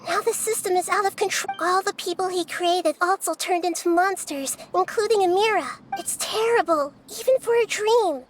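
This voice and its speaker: A woman voicing a calm, thoughtful young goddess who explains things gently. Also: normal speed, hardly any pauses, slight background noise. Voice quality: high, light voice. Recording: game audio with faint music.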